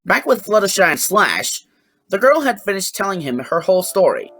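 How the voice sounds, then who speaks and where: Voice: mid-range voice, big pitch swings, normal speed, fairly bright tone, faint music behind. A narrator reading a scripted fan fiction story.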